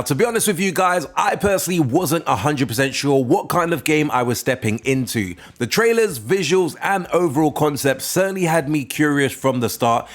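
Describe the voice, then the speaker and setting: Male game reviewer, informal scripted narration. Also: a little high. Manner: informal, conversational opinion-giving. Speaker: game reviewer and channel host.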